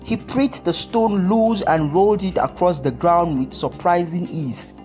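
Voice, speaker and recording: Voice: medium pitch, unhurried, a little mellow, soft background music. A storyteller reading a scripted folktale narration.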